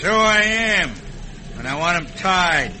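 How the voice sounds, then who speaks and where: Radio western heavy: scheming American boat skipper, slightly high pitch, folksy talk, noisy vintage recording. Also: male voice, animated, slow pace. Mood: urgent, ruthless. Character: scheming, smooth-talking villainous boat skipper. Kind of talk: classic radio western acting.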